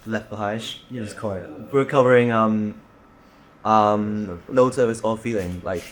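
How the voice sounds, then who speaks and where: Low-voiced young man from Hong Kong. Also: unhurried. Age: young adult. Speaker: band drummer. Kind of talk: casual interview chat. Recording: ambient room noise behind.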